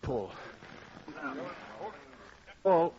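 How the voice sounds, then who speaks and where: An actor in an old-time radio drama playing a commanding but reassuring crew leader who gives short orders. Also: male voice, drawn-out words. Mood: urgent, encouraging. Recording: dull old radio, noisy background voices.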